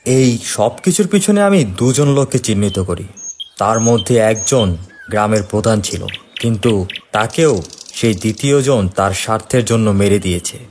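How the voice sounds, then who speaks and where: A man's voice: lowish voice, lively intonation, normal speed, a little mellow, a little hiss or hum, lightly acted. A man voicing a shrewd, resolute investigator in a horror cartoon, explaining things earnestly. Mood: revealing, serious. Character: shrewd, resolute male investigator exposing a culprit.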